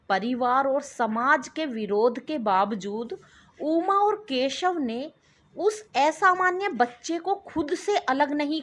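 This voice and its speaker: A woman with a high voice narrates a scripted story as a storyteller. She takes her time, phrasing each part slowly and deliberately with a dramatic touch. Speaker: storyteller and channel host.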